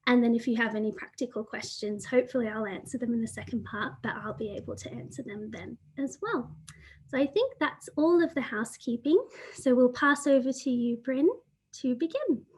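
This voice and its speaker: A woman's voice: fairly high pitch, a little fast, darkish tone, slightly rough. A woman hosting a webinar, introducing the speaker and running through housekeeping in a friendly, organised way. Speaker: webinar host.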